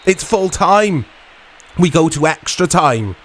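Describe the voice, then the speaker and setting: A man giving casual commentary on a football video game in short reactive fragments, his voice strained and a little hoarse. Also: on the high side, lively intonation, slow. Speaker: gaming channel host.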